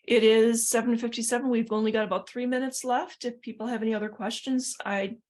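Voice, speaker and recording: A woman's voice: a little high, flat delivery, fairly quick, a little mellow. A woman trainer talking informally in an online workshop, explaining and offering practical suggestions as she answers questions.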